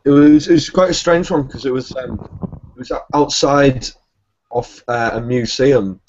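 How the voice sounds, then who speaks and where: A man's voice: mid-range voice, normal speed, darkish tone. Hesitant man, lo-fi sound. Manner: hesitant, many fillers. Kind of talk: casual chat. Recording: dull lo-fi sound.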